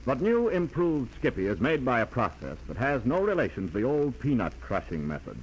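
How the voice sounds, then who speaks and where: Lively male 1940s radio pitchman. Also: muffled recording. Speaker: commercial pitchman. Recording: noisy 1940s radio recording.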